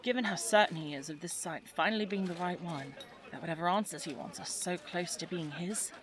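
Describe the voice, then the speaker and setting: A woman's voice: lowish voice, brisk, a crowd in the background, close to an everyday voice. Woman acting a well-spoken, headstrong young English daughter, outdoor bustle. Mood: composed, confiding. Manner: well-spoken, formal period phrasing. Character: young, headstrong, caring English daughter at a 1920s Egyptian dig. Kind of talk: scripted audio drama acting.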